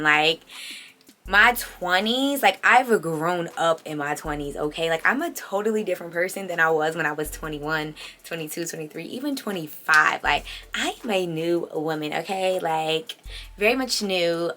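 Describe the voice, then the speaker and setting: A woman in her late twenties speaks in a bright, slightly low voice with a touch of congestion. She chats casually as if to her channel's followers, emphatic and full of slangy asides. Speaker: vlogger hosting own channel. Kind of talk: casual confessional chat to camera.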